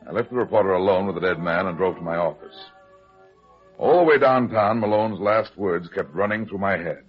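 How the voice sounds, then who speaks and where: An actor playing a hard-boiled, heavyset private detective, terse and deadpan, in old-time radio style. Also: male voice, deep voice, brisk, soft background music, close to an everyday voice. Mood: brooding narration. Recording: dull, lo-fi old radio drama recording.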